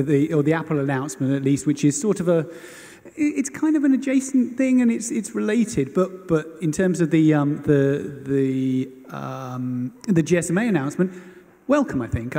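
A man with a fairly high, soft-edged, mellow voice speaks on a conference panel. He explains in a hesitant, qualifying way. His words carry long hall reverb. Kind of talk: panel discussion. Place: large conference hall stage, indoors.

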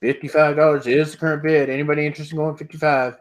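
A middle-aged man hosting an online auction, calling bids in a steady repetitive rhythm and laughing often, recorded through an earbud headset on a video call at home. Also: fairly high pitch, very fast. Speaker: auctioneer host. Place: home room with sports memorabilia.

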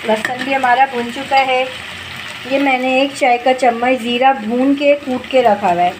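A woman guides her viewers through a home-cooked dish in a homely, instructive way. Her voice sits fairly high. She talks over the stove, recorded on a phone above the pot, and the sizzle and stirring of the pan come through clearly behind her. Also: fairly even intonation, normal speed. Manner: homely, step-by-step instructive, addresses viewers directly. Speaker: cooking channel host. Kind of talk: home cooking tutorial narration. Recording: noisy with frying sizzle behind.

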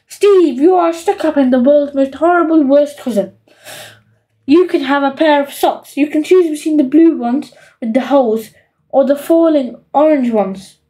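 Woman acting greedy little boy, storybook brat, high-pitched, emphatic, gleefully rude, soft-edged tone. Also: normal speed, recorded from a distance, lightly acted, low-quality recording. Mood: scornful. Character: bossy, greedy, scheming young boy dictating his will.

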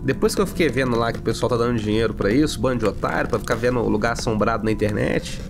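A man's voice: mid-range voice, very fast, vocal fry, over music, close to an everyday voice. A man playing a cocky, sceptical young ghost hunter in an audio drama, with a Brazilian accent and a slangy, dismissive way of talking. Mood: scheming. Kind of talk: audio drama acting, colloquial dialogue. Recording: noisy ambience behind voice.

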